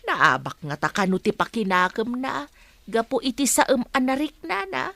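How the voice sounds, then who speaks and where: A woman's voice: on the high side, animated, normal speed, gravelly, a bit bright, dry recording. A woman with an Ilocano accent playing a deferential gossip addressing her madam in a radio drama. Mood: hesitant, informing.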